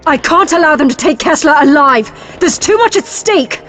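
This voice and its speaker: A woman playing a cool, resolute British secret agent in a video game cutscene, brisk and determined. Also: sits high, expressive. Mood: tense, resolute. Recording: loud music behind the voice.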